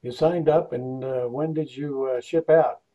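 A man who runs oral history interviews, asking measured, polite questions over a video call. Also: medium pitch, fairly even intonation, warm tone, highs cut off, slight background noise. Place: Moorestown, New Jersey.

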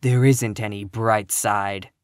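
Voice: medium pitch, a little slow, no room sound, clean studio sound, close to an everyday voice. A narrator voicing a grim, sour, scornful spinster in her fifties from a storybook, full of gloom and contempt. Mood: contemptuous, sour. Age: 50s. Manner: grim, scornful, flat pronouncements.

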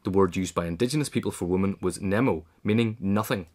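Brisk, low-voiced man reading an essay. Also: a little mellow. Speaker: reader of an essay. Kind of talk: scripted narration of a biographical essay.

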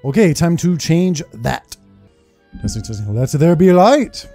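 A man's voice: on the high side, sing-song, measured pace, mellow. A man in his thirties giving informal, rambling commentary on a game playthrough. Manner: informal, rambling, mild swearing. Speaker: gaming streamer. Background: game music.

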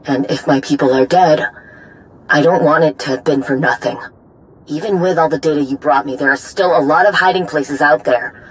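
A woman's voice: deep voice, moderate pace, natural voice, poor audio. A woman playing a stern, vengeful warrior commander in a video game, speaking in terse, weighted lines. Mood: grim, businesslike. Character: grim, vengeful female Mandalorian warrior commander. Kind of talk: video game dramatic acting.

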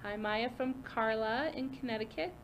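A woman's voice: a little high, moderate pace, slight background noise. A woman off camera reading out viewer comments and jokes in a plain, matter-of-fact way during a livestream.

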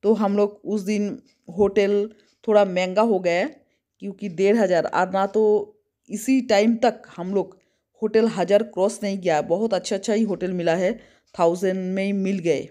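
A woman's voice: medium pitch, steady tone, moderate pace, mellow. A woman travel vlogger from West Bengal chatting casually on a road trip, listing places and costs as she goes, recorded on a phone held at arm's length in a car and outdoors.

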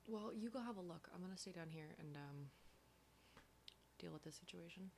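A woman playing a foul-mouthed, sardonic apocalypse survivor in an ASMR horror roleplay, breathy, dry and often whispering. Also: fairly low pitch, a little mellow. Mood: wary, casual. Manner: sweary, dry, unhurried.

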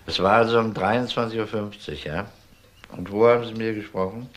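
An actor playing a methodical, matter-of-fact police inspector in a German crime radio drama. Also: male voice, fairly low pitch, close to an everyday voice. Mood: calm, businesslike. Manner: measured, matter-of-fact questioning.

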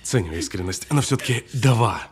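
Audio-film detainee, sardonic and unflappable, husky edge, very varied intonation, deliberate needling. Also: male voice, fairly low pitch, expressive. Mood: goading, intense. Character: cool, taunting detained male actor bluffing a policeman. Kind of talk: naturalistic audio film drama acting.

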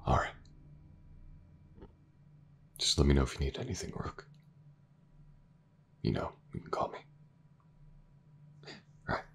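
A man playing a gentle, reassuring detective in an intimate audio roleplay, speaking softly and hesitantly, often near a whisper. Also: very deep voice, expressive, a little slow, creaky, long pauses, a little hiss or hum, full character voice. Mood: hesitant, tender. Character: gentle, reassuring male detective with an injured eye.

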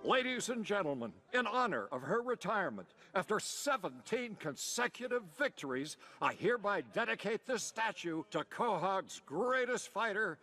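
A man voicing a pompous, boyishly irresponsible town official making declamatory speeches in an adult cartoon, over loud noise. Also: high voice, sing-song, moderate pace, hardly any pauses, faint music behind, heavily acted. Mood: grandly announcing. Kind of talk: ceremonial speech, animated sitcom.

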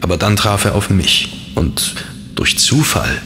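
A man's voice: low voice, lively intonation, a little slow, sharp tone, slight background noise, exaggerated voice. An actor playing a melancholy fallen angel in a man's body, sombre and confiding, in a horror audio drama. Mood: weary, resigned.

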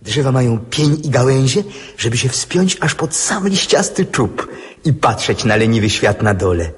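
An actor playing a restless, dreamy schoolboy in a radio drama, recorded with a muddy reverberant sound. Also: male voice, mid-range voice, very animated, moderate pace, a little hiss or hum, close to an everyday voice. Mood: bored, scornful. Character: restless schoolboy, impatient dreamer, eager and shy.

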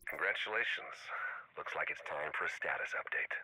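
A man playing a scheming, self-assured rogue agent leader in a game, speaking in a measured, smug, persuasive way over radio comms. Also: extremely low pitch, sharp tone, slightly rough, no echo, full character voice, rough recording. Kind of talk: dramatic video game dialogue.

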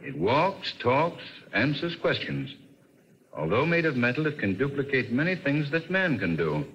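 An actor playing an urbane, curious gentleman sleuth in an old radio drama, polite and wry. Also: medium pitch, big pitch swings, normal speed, breathy edge. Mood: curious, amused. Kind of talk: 1940s radio acting. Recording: dull vintage radio recording.

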